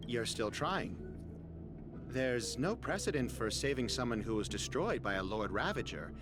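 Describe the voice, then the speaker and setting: An actor voicing a sober, doubting technician in a video game. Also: male voice, mid-range voice, sing-song, normal speed, close to an everyday voice. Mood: doubtful. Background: game music.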